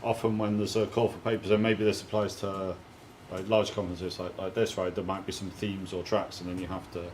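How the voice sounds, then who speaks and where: A man facilitating a casual group discussion, informal and halting as he puts questions to others. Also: sits low, flat delivery, a little mellow. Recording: faint room noise.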